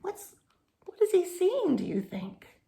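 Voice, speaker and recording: A woman storyteller reads a children's book with very lively, swooping intonation. Her voice has a creaky edge, and she stretches phrases for effect. She often turns to her young listeners with little questions and asides. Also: extremely high pitch. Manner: animated, drawn-out phrasing, asks the listeners questions. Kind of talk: expressive picture-book read-aloud with asides to children.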